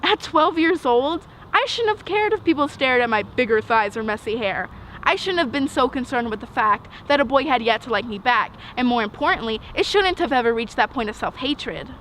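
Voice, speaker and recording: A young woman in her teens performing rhythmic spoken word poetry, earnest and emphatic, with dramatic pauses. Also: a little high, lively intonation, a bit bright, rough recording. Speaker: slam poet. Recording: outdoor urban noise, passing vehicles.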